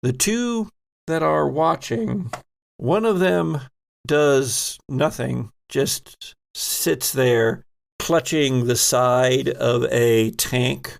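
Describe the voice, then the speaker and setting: A man's voice: medium pitch, drawn-out words, vocal fry. A man running a tabletop horror game as game master, narrating in a descriptive, suspenseful way.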